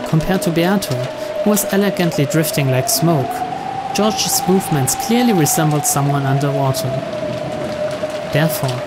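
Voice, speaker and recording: A man with a slightly high voice reads story narration aloud for a game playthrough. Clear music plays behind him as he narrates the visual novel.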